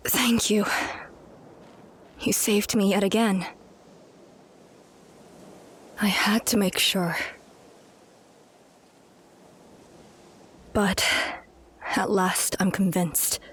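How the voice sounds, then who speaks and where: A woman's voice: medium pitch, fairly even intonation, slow pace, breathy edge. A woman playing a devoted, earnest young noblewoman in a video game drama, with a pleading, dramatic delivery. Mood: hushed relief. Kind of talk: video game cutscene acting.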